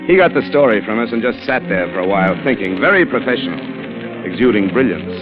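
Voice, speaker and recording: Brash, wisecracking 1940s radio reporter; low voice, wry clipped delivery, vintage recording with music under it. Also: male voice, big pitch swings, fast, rough recording. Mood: wry, tense narration. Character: brash wisecracking male newspaper reporter narrating his crime story. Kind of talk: hardboiled 1940s radio drama acting.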